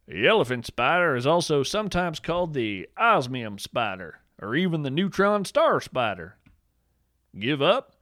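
Wry, lively-voiced male storyteller. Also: on the high side, moderate pace, no echo, studio quality. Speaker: podcast narrator. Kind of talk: scripted whimsical nature narration.

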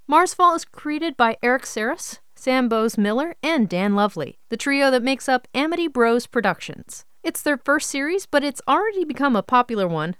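Upbeat, animated woman hosting a show. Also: fairly high pitch, smooth, no room sound, clean studio sound. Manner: upbeat, addresses listeners directly. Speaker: radio show host. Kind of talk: scripted show introduction and announcements.

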